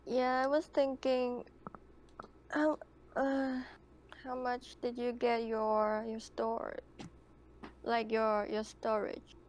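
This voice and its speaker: A woman chatting casually and haltingly as a player in an online tabletop game. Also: a little high, flat delivery, darkish tone. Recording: noisy online voice chat.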